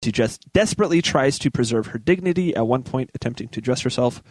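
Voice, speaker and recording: A man's voice: mid-range voice, expressive, quick pace, darkish tone, no room sound. A man co-hosting a casual talk show, reading out news items and chatting about them. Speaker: podcast co-host reading out news stories.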